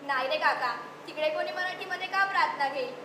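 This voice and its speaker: A woman's voice: extremely high pitch, fast talker, recorded from a distance. A woman playing a chatty, eager city schoolgirl in a stage play, mixing English words into her Marathi. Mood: matter-of-fact. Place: theatre stage at a youth festival. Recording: echoey stage mic from afar, noisy hall.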